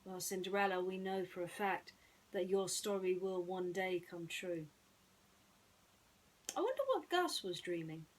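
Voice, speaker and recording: A woman hosting and narrating bedtime stories in a gentle storytelling style. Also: lowish voice, animated, a little slow, pauses often, poor audio.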